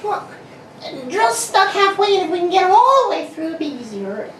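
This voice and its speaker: A woman playing a brisk little girl absorbed in her game, in an amateur radio play reading. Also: very high voice, very animated, fairly bright tone, exaggerated voice. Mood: hurried. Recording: roomy, distant mic, faint background noise.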